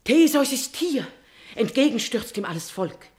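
A woman's voice: fairly high pitch, sing-song, a little slow. An actress playing a queen's devoted and scheming confidante in a classical tragedy, declaiming verse in an emphatic, persuasive manner. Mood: breathless alarm. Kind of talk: declamatory classical German stage verse acting.

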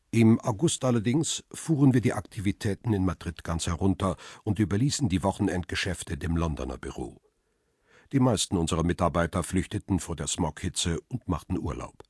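A man narrating a radio crime drama in the first person, with a very deep, husky voice. He tells the story calmly and steadily, recorded close and dry in a studio. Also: no echo. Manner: calm, steady, reflective storytelling. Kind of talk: scripted radio play narration.

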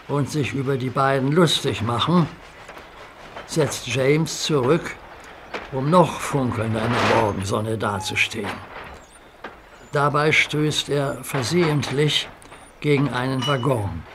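Measured male storyteller, slow and steady, noisy track. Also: mid-range voice. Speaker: narrator. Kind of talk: scripted children's story narration. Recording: noisy soundtrack recording.

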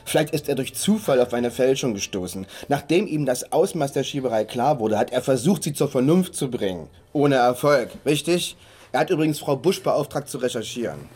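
Dry, husky police detective. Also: male voice, medium pitch, lively intonation, brisk, a little mellow. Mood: cool, accusing. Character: dry, smug, relentless male police detective. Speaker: actor in a radio crime drama. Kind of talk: German radio drama acting.

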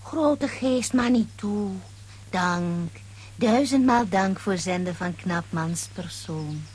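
An earnest young woman, a chief's daughter in a children's radio play. Her voice is very breathy and husky, and she speaks slowly and solemnly in broken sentences. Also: medium pitch, expressive, darkish tone. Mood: reverent. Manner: solemn broken speech with dropped words.

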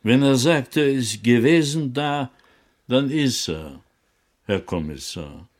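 A man's voice: mid-range voice, expressive, slow pace. An actor playing a protective, wary butcher father in a radio play, speaking insistently in Yiddish-influenced German. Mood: defensive. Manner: insistent, inverted word order.

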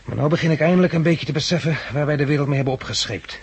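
A man's voice: fairly high pitch, very fast, slight background noise, natural voice. An actor playing a thoughtful, anxious male survivor, musing and hesitant, in a 1960s radio drama. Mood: bitter, reflective. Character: thoughtful male plant-grower survivor, anxious, searching for his woman. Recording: vintage 1969 radio play recording.

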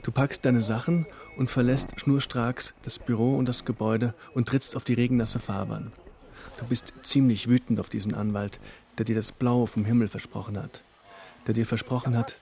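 A man's voice: medium pitch, a little mellow. A man telling a radio play story in the second person, in flowing descriptive narration. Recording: faint music under the voice. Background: faint instrumental music.

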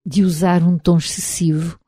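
A woman with a European Portuguese accent reading a literary novel aloud in the first person, measured and reflective. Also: lowish voice, little pitch movement, dark tone, slightly rough, no echo, studio quality. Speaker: audiobook narrator. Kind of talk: literary audiobook narration.

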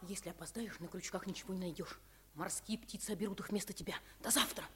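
A woman's voice: medium pitch, fast talker, noisy background, close to an everyday voice. A woman playing a blunt, wary working-class fisher boy in a radio play. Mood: wary, subdued. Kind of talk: 1950s Soviet radio play acting.

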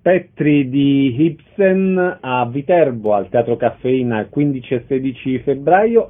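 A man hosting a radio arts programme, interviewing guests and announcing shows. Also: medium pitch. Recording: thin, narrow-band radio sound.

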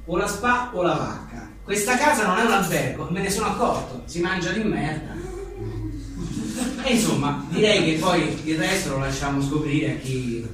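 Echoey, higher-voiced man, irreverent. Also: lively intonation, normal speed, a bit bright. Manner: colloquial, irreverent, with swearing. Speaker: author presenting his book. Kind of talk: reading short jokes and chatting informally at a live book presentation. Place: live event venue with an audience. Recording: echoey room, distant mic, faint noise.

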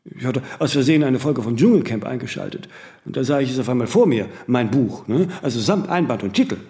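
An actor in a radio play voicing a witty, vain celebrity raconteur who is self-deprecating, evasive and opinionated. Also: male voice, medium pitch, expressive, fast talker, dark tone, lightly acted. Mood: musing, witty. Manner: coquettish, opinionated, drawling tag questions. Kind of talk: conversational German radio play acting.

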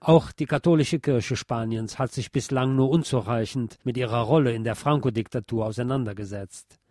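A man reading a scripted historical text aloud as narrator, in a measured, formal, literary manner. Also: mid-range voice, darkish tone, faint music behind.

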